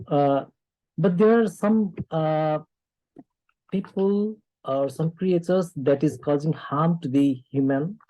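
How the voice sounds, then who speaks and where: A man from Nepal with a Nepali accent, speaking off the cuff in a discussion in a halting, hesitant way with frequent pauses. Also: a little high, dry recording, muffled recording. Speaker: discussion participant. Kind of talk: unscripted discussion remarks.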